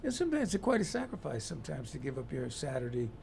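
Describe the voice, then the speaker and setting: Raspy man joking on talk radio. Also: medium pitch, very animated, a little mellow, a little hiss or hum. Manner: joking, halting. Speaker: radio co-host. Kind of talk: radio roundtable chat.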